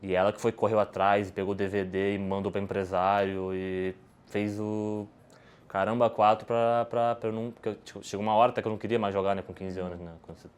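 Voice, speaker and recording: A man's voice: lowish voice, level tone, fast, clean tone. A Brazilian man, a podcast guest, answering questions in casual talk, rambling with many restarts and tag questions. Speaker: podcast guest, poker player.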